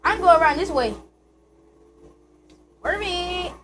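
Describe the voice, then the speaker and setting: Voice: very high-pitched, animated, takes it slowly, fairly bright tone, a little hiss or hum. A young adult, giving slangy, playful, casual commentary while playing a video game. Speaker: gaming video host.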